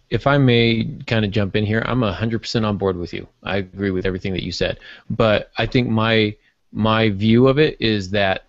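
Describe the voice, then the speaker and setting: A podcast co-host, a man with a fairly low voice, explains things informally and conversationally. The sound is very dry and a little dull, with no room around it. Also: no echo. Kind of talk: casual podcast discussion.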